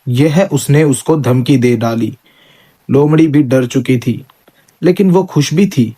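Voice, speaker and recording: A man's voice: medium pitch, a little slow, mellow, husky. A man narrating an animal story for children as its storyteller.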